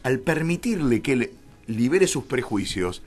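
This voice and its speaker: A man's voice: mid-range voice, big pitch swings, a little slow, darkish tone. An Argentine man from the Buenos Aires area speaking as a filmmaker guest in a casual radio interview, talkative and emphatic, often repeating himself.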